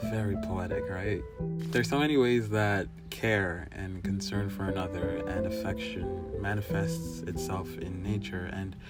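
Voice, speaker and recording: A man's voice: sits low, steady tone, measured pace. A man in his twenties from Nigeria, talking thoughtfully in a personal video monologue and often checking in with "right?". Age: 20s. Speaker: vlogger. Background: guitar music.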